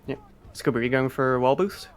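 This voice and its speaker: A man's voice: medium pitch, quick pace. A man giving explanatory live commentary on a speedrun. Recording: faint background noise, live event stream.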